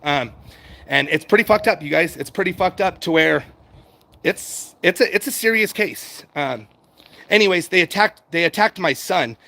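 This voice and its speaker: A man's voice: high-pitched, expressive, normal speed, creaky, pushed and bright. A middle-aged American man hosting a casual livestream, talking in a rambling, repetitive, conversational way with some swearing. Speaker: livestream host answering viewer chat. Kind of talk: casual unscripted chat, reading out and answering comments.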